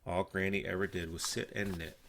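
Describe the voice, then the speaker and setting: An older man reading a children's story aloud in an animated storytelling style. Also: deep voice, brisk, a little hiss or hum. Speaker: storybook reader.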